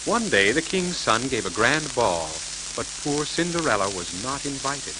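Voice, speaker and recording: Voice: mid-range voice, very animated, normal speed. A storyteller reading scripted fairy tales to children on a crackly 1940s record.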